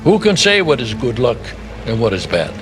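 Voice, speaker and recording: An actor playing an old martial arts master in a video game, stern and serene, speaking formally with dry, measured delivery. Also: male voice, mid-range voice, huge pitch swings, music in the background. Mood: stern, detached. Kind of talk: video game cutscene voice acting.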